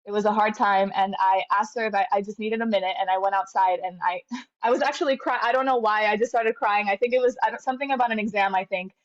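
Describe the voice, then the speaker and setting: A woman in her thirties speaks at a middle pitch, earnest and reflective, often restarting her sentences with fillers. Her interview audio is a little dull and lo-fi. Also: fairly even intonation, fast talker. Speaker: interview guest, medical graduate. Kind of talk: conversational storytelling interview.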